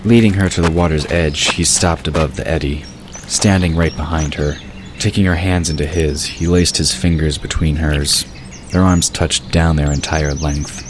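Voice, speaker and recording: Male narrator, extremely deep, measured storytelling; hissy recording. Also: fairly even intonation. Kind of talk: scripted audiobook narration. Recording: hissy recording with bird ambience.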